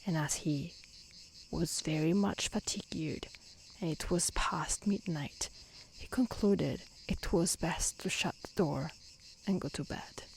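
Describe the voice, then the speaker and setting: A woman reading a storybook aloud in a soft, breathy, half-whispered ASMR style, gently and carefully. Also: low-pitched, slow pace. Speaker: narrator reading a fairy tale. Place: outdoors in nature. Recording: faint outdoor insect noise. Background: crickets and insect ambience.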